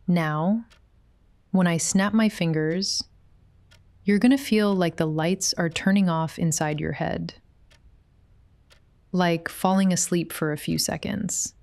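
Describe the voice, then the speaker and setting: A woman playing a possessive, quietly controlling therapist in an intimate ASMR roleplay, speaking softly and coaxingly. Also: fairly low pitch, gravelly, no room sound. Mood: soothing, hypnotic. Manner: soft, unhurried, coaxing.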